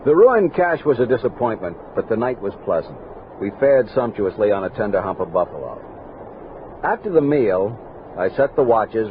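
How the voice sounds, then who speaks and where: A man's voice: on the low side, phone-quality sound, slight background noise, natural voice. An actor with an American accent playing a grave, commanding army captain who narrates his expedition journal in a radio drama. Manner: measured, literary storytelling with crisp orders. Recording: band-limited 1960s studio radio recording with music.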